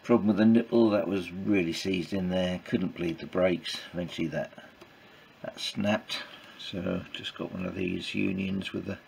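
A man giving informal hands-on workshop commentary in a halting, matter-of-fact way with clipped sentences. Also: low voice, steady tone, creaky, a little mellow. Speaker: presenter of a motorbike build vlog. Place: small wooden shed workshop. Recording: noisy workshop recording with background ambience.

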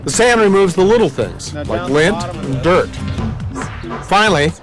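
An upbeat male TV host with a slightly high, lively voice, trading quick jokes and questions in a backyard and narrating the show over background music. His pitch swings widely as he talks. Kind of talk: home renovation show hosting and voice-over. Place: outdoor backyard.

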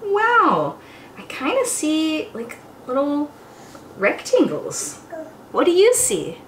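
Young woman, children's storytime read-aloud, slow pace, expressive and exclamatory, roomy echo. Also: sits high. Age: young adult. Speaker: children's storytime teacher and host. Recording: roomy echo, faint background noise.

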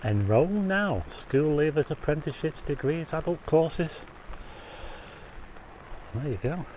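A man's voice: fairly high pitch, animated, slow pace, darkish tone, dull audio, slight background noise. A man casually narrating a walk outdoors on a rainy street, chatty, asking viewers questions and making wry asides. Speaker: walking tour vlogger. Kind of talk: casual walking commentary.

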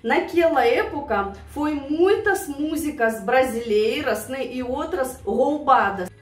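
A woman's voice: high-pitched, expressive, slow pace, distant mic, soft background music. A woman from Siberia with a Russian accent, chatting to her viewers in an emotional, laughing way about old memories. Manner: emotional, laughs often, addresses viewers fondly. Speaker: vlogger reacting to songs. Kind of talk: casual reminiscing to viewers. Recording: roomy, voice away from mic.

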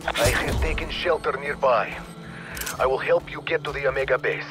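An actor playing a defiant Soviet resistance fighter in a video game, with a Russian accent and an emphatic, dramatic delivery over the radio. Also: male voice, mid-range voice, steady tone, moderate pace, over music. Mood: urgent, determined.

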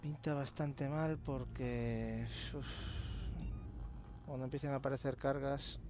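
A man's voice: mid-range voice, fairly even intonation, slow pace. A man with an accent from Spain giving casual gameplay commentary, muttering and swearing to himself over game sound. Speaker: gamer commentating a playthrough. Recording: loud game ambience noise. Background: video game sound effects and ambience.

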